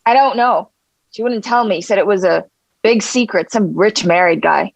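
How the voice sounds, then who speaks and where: A woman's voice: mid-range voice, normal speed, vocal fry, no echo. A woman playing a brash, streetwise male gangster rapper in a script table read. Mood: confiding. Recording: dull, muffled lo-fi sound.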